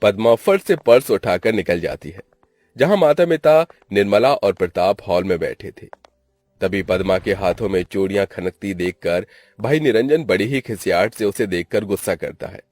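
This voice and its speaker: A man's voice: fairly low pitch, expressive, moderate pace, a little mellow, dry recording. A man narrating a scripted story in a dramatic style.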